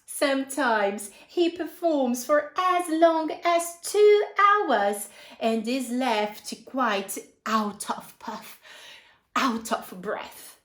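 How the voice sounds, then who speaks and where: A woman with a high, very lively voice reads a children's story at an unhurried pace. She is expressive and often turns to her young listeners with prompting questions, as a storyteller would. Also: reverberant. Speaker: storyteller reading a picture book to children. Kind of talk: animated children's read-aloud with asides and questions.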